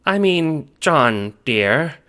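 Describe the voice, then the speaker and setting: Man putting on a high-voiced, affectionate old grandmother. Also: very animated, drawn-out words, sharp tone, heavily acted. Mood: amused, teasing. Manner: fussy, affectionate, hooting pet-name talk. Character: stern yet warm, teasing old grandmother. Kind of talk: audio drama character acting.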